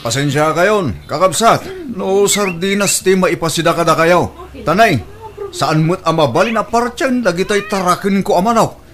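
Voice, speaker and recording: An actor playing a casual, wheedling, stingy grown son in an Ilocano radio comedy drama. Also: male voice, high-pitched, lively intonation, normal speed. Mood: apologetic, jovial. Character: easygoing, stingy son fond of his chickens.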